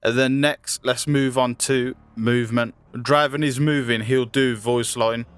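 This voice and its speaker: A man's voice: mid-range voice, fairly even intonation, a little slow, smooth. A man presenting a game character showcase in a scripted promotional narration style.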